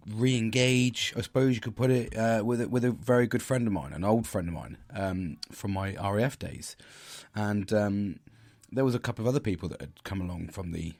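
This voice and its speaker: A middle-aged man from the United Kingdom with a husky voice that sits a little low. He hosts a casual solo podcast and talks hesitantly, with frequent ums and restarts. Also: a little mellow. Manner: hesitant, many fillers and restarts. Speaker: podcast host.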